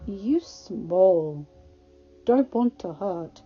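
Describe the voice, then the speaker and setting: A woman voicing a huge, gentle, lonely brute who talks slowly in broken, simple speech for a story reading. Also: mid-range voice, expressive, husky, muffled recording, faint music behind, heavily acted.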